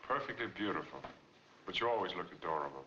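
Brooding married man in a 40s noir, deep voice, muffled old film track. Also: lively intonation, fast talker, noisy background. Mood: smooth, courting. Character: brooding, self-pitying married man seeking companionship. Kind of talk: 1940s film noir acting. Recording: dull 1940s film soundtrack, music behind.

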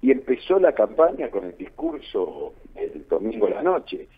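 A man's voice: fairly low pitch, sing-song, normal speed, slightly rough. A man with an Argentine accent giving political analysis in a radio interview, repeating phrases for emphasis. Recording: narrow phone-like line, muffled.

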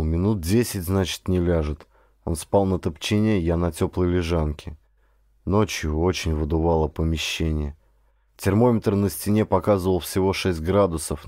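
A man's voice: low voice, mellow, no room sound, clean studio sound. A man reading a first-person adventure story as a scripted audiobook narration.

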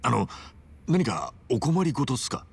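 A man playing an earnest, rough-spoken, big-hearted hero in a video game, husky and blunt. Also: mid-range voice, big pitch swings, moderate pace, exaggerated voice. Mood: eager, earnest. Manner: blunt, informal. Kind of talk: scripted Japanese video game dub.

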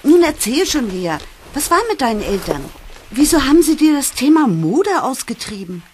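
A woman's voice: sits high, sing-song, normal speed, a little mellow, a little hiss or hum. An actress playing a pushy, gushing, fashion-mad schoolgirl in a children's audio drama, insistent and dramatic.

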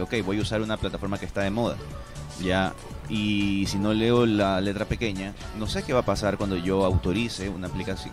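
A man in his thirties explaining things with examples as an expert guest in a radio studio interview. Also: fairly low pitch. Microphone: studio broadcast microphone.

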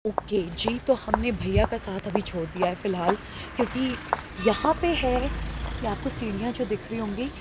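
A woman's voice: medium pitch, moderate pace, heavy background noise. A young woman talking casually as a travel vlogger, chatty, dropping English phrases into her speech, recorded on a phone with no external mic. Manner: chatty, mixes English phrases, laughs easily. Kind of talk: casual travel vlog chat. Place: in a car and on outdoor hill steps. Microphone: handheld phone camera, no external mic. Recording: loud music over the voice.

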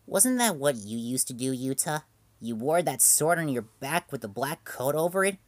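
A man's voice: mid-range voice, sing-song, moderate pace, no room sound, natural voice. A man voicing a polite, mild young girl. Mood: plain.

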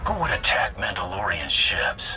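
Blunt hard-edged bounty hunter from a game, lowish voice, music behind. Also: male voice, very animated, moderate pace, sharp tone, full character voice. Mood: curt. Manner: terse, blunt. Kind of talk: dramatic video game acting.

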